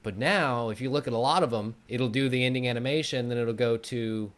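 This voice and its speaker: Mid-pitched man chatting as a guest. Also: fairly quick. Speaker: guest, online video creator. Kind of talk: casual explanatory conversation.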